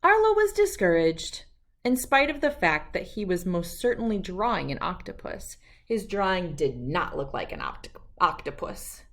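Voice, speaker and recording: A woman reading a children's storybook aloud with expressive, deliberate, emphatic phrasing. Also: lowish voice. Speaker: children's book read-aloud host.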